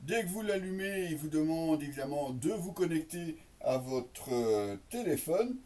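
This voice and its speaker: Distant, higher-pitched man presenting an unboxing. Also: expressive, measured pace, warm tone. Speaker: product reviewer and presenter. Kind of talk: casual unboxing demonstration.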